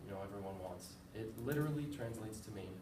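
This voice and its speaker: A man's voice: fairly low pitch, quick pace. Echoey, distant young man presenting. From United States. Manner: casual and self-deprecating, with fillers. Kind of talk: informative class presentation. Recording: echoey room, far from the mic, with background noise.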